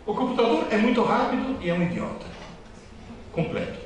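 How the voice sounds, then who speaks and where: A man's voice: very high voice, a little fast, slight background noise. A man who grew up in Italy, giving a joking, teasing motivational lecture to students and parents. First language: Italian. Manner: joking, teasing, lively storytelling. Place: large echoey school hall with an audience. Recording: very echoey hall, voice from far off.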